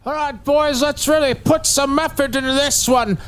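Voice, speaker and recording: A man's voice: extremely high pitch, steady tone, normal speed, a bit bright. An actor playing a crew boss who barks orders at his men. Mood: commanding. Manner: barking orders. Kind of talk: audio drama acting.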